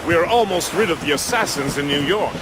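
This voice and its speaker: An actor as a jovial, high-voiced gentleman first mate. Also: lively intonation, fairly quick, natural voice. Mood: jovial, wry. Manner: florid, wry, theatrical. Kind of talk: theatrical period game cutscene acting. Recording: waves and ship noise behind voice.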